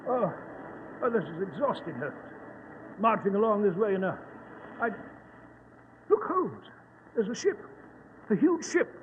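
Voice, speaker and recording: An actor playing a bumbling, eager older English gentleman doctor with a British accent, fussy and exclaiming, in a 1940s radio drama. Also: sits high, sing-song, measured pace, pauses often, narrow, tinny audio. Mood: puffed, excited. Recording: thin, noisy old radio recording.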